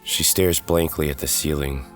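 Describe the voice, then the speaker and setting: A man's voice: rumbling low, fairly even intonation, normal speed, a bit bright. A man playing a brooding hero who narrates his own story in a scripted audio drama over music. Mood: solemn. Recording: dramatic music bed behind.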